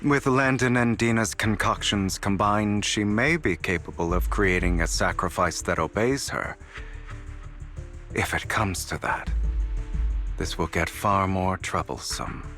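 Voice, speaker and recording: A man voicing a suave, eloquent young gentleman thief in a theatrical video game style. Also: deep voice, unhurried, soft background music. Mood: calm, calculating. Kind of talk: dramatic video game voice acting.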